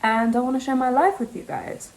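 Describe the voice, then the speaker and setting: A young woman with a South African accent that leans British, talking fast and rambling in a casual, confessional vlog, breaking off with laughs. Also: high-pitched, noisy background. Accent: South African English with a British touch. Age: young adult. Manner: fast, rambling, self-interrupting, laughs often. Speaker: vlogger. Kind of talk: casual confessional chat to camera.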